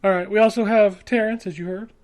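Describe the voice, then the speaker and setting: A man's voice: sits high, steady tone, quick pace, darkish tone. A man in his forties hosting a podcast with casual, blunt, sweary banter. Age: 40s. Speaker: podcast host.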